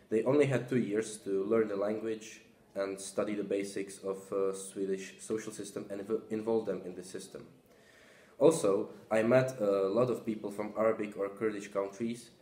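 Young male student testimonial, second-language English, flat delivery, roomy sound. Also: fairly low pitch. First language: not English. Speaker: exchange student. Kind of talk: testimonial to camera. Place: small indoor office. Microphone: camera a short way off. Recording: echoey small office.

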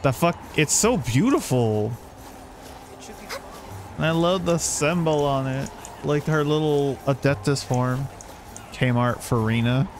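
A man's voice: a little high, takes it slowly, pauses often, a little hiss or hum. A man chatting casually to viewers as a game streamer, rambling along and swearing now and then. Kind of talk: casual livestream chat to viewers.

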